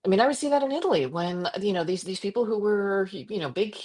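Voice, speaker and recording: A middle-aged woman from Croatia, a journalist guest talking passionately in an interview, with frequent restarts and quick laughs. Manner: animated, impassioned, jumps to high pitch for quoted voices. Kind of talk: conversational interview.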